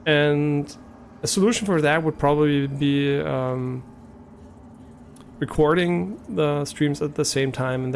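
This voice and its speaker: A man's voice: fairly high pitch, creaky, a little mellow, slight background noise. A man from Germany, a native German speaker with a German accent, chatting casually and a bit hesitantly to viewers as a live streamer, thinking aloud as he goes.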